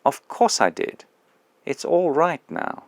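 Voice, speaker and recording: A man reading an audiobook, voicing a radiant male spirit who speaks softly, calmly and reassuringly. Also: fairly high pitch, slow pace, vocal fry, no echo, voice pushed up, heavily acted. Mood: serene. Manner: soft, calm, patient and reassuring. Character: serene radiant male spirit, gentle and joyful.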